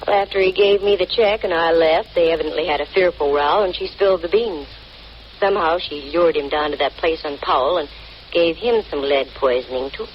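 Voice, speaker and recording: A woman acts a cool, wisecracking female private eye from a golden-age radio mystery. Her voice sits a little low. The sound is muffled vintage radio with faint noise. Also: fairly quick, lightly acted. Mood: matter-of-fact. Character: cool, flippant female private detective, unrepentant and wry. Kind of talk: old-time radio detective drama acting. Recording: dull, noisy vintage radio recording.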